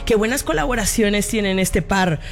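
A woman's voice: mid-range voice, normal speed. A woman with a Guatemalan accent hosting a music radio show in a chatty, informal, giggly way. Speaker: radio host. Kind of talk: casual music radio presenting and chat. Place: radio studio. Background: music bed.

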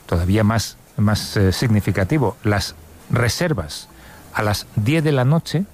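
Low-voiced man telling stories calmly. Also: a little slow, slight background noise. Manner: relaxed, explaining with hesitations. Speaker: co-host. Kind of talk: casual chat.

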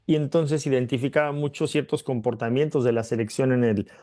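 A man's voice: mid-range voice, steady tone, a little fast, dark tone. A man, a former player now pundit, giving thoughtful football analysis with frequent fillers and tag questions. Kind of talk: analysis by remote link.

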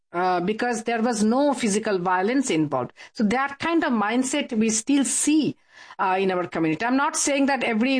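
A woman speaking as an interview guest, explaining her support work in a halting, hesitant way as she searches for words. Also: a little high. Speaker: guest, support worker for abuse victims. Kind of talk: radio interview, explaining from casework.

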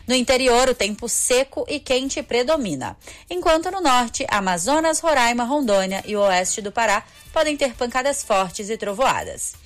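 Brazilian woman reading the weather. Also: medium pitch. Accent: Brazilian Portuguese. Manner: steady, even newsreader delivery. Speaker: weather reporter. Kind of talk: scripted weather forecast.

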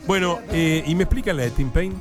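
A man's voice: fairly high pitch, brisk, soft background music. A man with a Rioplatense Spanish accent hosting a radio show, asking his co-hosts question after question. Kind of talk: casual studio chat.

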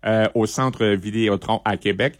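A middle-aged man with a Quebec French accent, presenting a music segment on radio in a conversational, halting, informative way. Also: fairly low pitch, steady tone, no echo, studio quality.

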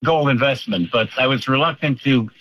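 A man's voice: medium pitch, moderate pace, fairly bright tone, no echo. A man calling into a radio show, talking in a hesitant, agreeable way over a phone line. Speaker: caller. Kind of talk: call-in radio chat.

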